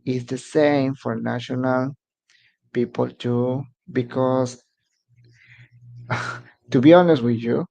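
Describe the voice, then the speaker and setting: A man's voice: medium pitch, steady tone, takes it slowly, creaky, pauses often, dark tone. A man from Mexico whose first language is Spanish, speaking English with a Mexican Spanish accent as he hosts a casual live stream and halts to search for words. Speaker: immigration advice channel host.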